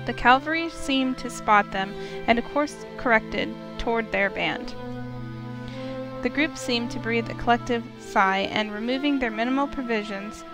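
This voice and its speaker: A woman's voice: on the high side, normal speed. A woman reading scripted fantasy story narration as the narrator of a visual novel. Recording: voice under prominent music.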